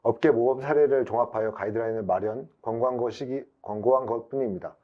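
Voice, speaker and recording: A man's voice: mid-range voice, fast, phone-quality sound. A man giving a formal, explanatory prepared webinar presentation as an IT specialist.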